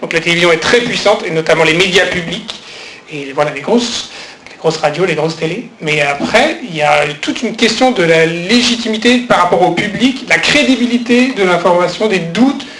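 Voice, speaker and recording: A high-voiced man moderates a conference panel and asks his questions haltingly, with plenty of fillers. The hall around him is echoey. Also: lively intonation, fairly bright tone, slight background noise. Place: conference hall at a trade fair.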